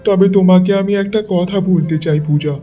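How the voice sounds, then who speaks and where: Man acting a very high, mellow, earnest boss. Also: fairly even intonation, lightly acted. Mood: earnest, tender. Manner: earnest, gentle, deliberate. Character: earnest office boss courting his cook. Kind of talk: Bengali cartoon story acting. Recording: muffled, distant, music behind.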